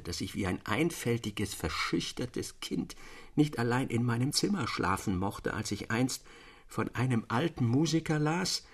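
Thoughtful literary storyteller, husky, close dry sound, formal expressive narration, measured phrasing, eerie tales. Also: male voice, on the low side, no echo, heavily acted. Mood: hushed, awed.

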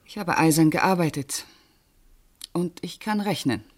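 A woman's voice: fairly low pitch, measured pace. A composed female bank official in a radio play. She is exacting and guarded, giving restrained, precise answers in short phrases and keeping firm control of herself. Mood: controlled, terse. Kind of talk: German radio play acting.

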